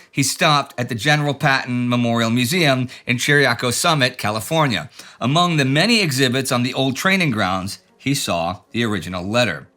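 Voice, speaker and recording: A man's voice: mid-range voice, sharp tone. A man hosting a show, reading out viewer letters and comments with dry, joking asides. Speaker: show host.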